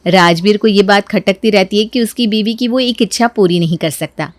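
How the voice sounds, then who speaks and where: A woman's voice: mid-range voice, moderate pace, no echo. A woman narrating a scripted family moral story as a storyteller.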